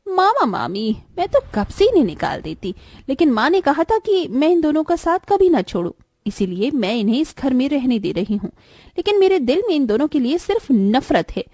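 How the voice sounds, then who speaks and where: A woman's voice: high voice, sing-song, normal speed, a little mellow, no echo. A woman voicing a bitter, domineering young elder stepsister in a cartoon moral story, with an emphatic, dramatic delivery. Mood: resentful.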